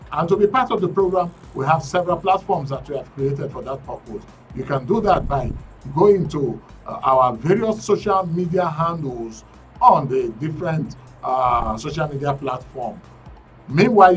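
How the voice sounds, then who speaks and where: A man's voice: medium pitch, far from the mic. A man hosting a current affairs call-in show in a broadcast studio. He speaks emphatically and draws out the channel names and handles. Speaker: radio and TV show host.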